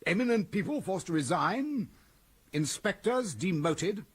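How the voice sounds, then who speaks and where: An actor playing an imperious British police commissioner in a radio drama, barking clipped commands. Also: male voice, sits high, sing-song, a little slow, slightly rough, full character voice. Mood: blustering, exasperated.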